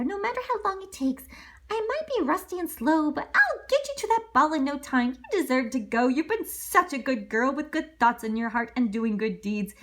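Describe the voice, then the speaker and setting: A woman's voice: high voice, a bit bright, voice pushed up. A woman voicing an elderly, kindly, absent-minded fairy godmother in a read-aloud storybook. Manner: fussy, rambling, absent-minded.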